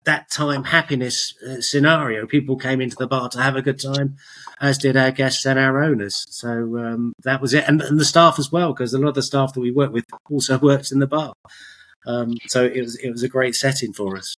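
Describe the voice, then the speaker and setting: A man's voice: medium pitch, fairly even intonation, moderate pace, a bit bright. A middle-aged man chatting as an interview guest, telling his story in a hesitant, rambling, self-correcting way. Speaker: podcast guest, business owner.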